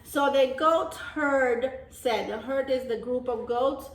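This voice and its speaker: A woman reading a picture book aloud to young children, pausing to explain things simply like a teacher. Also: sits high. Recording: echoey room.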